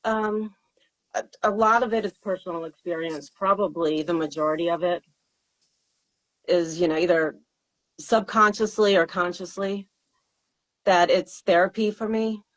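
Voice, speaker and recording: A woman with a fairly low voice, a singer-songwriter answering interview questions in a thoughtful, hesitant way with fillers and laughs. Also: fairly even intonation, unhurried, pauses often, poor audio.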